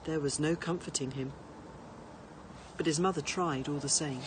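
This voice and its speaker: A woman reading a children's storybook aloud in an expressive narrating style. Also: sits low, normal speed, background noise. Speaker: teacher reading to pupils.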